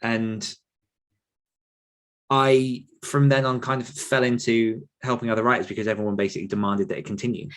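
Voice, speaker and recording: A man's voice: mid-range voice, little pitch movement, normal speed. A man speaking as a podcast guest, giving reflective, explanatory answers in a conversational interview style. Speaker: podcast guest, author and writing coach.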